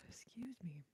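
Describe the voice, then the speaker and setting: A woman hosting an ASMR livestream in a soft whisper, rambling playfully and chatting to her viewers. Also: a little high, slightly rough, no echo.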